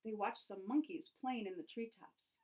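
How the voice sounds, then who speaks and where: A woman reading a picture book aloud to children in an expressive, teacherly storytelling way, engaging her young listeners. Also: mid-range voice. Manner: animated storyteller, pauses to ask young listeners questions.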